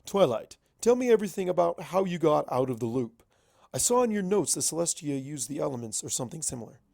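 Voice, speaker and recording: A blunt old wizard pony in a storybook reading, acted out by the narrator. He lectures and scoffs emphatically, with gravelly creak in a middling pitch. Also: very animated, fast talker, dark tone, dry recording, studio quality. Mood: incredulous. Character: Starswirl, old male wizard pony, gruff, cynical, blunt.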